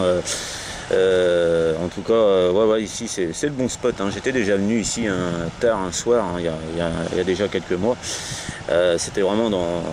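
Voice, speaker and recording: A man with a French accent chatting casually as an amateur radio operator, talking in a rambling, hesitant, repetitive way outdoors. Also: low-pitched. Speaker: amateur CB radio operator leading a round of contacts. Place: outdoors on a low wall at the end of a sea dike. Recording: faint ambient noise, outdoor portable radio setup.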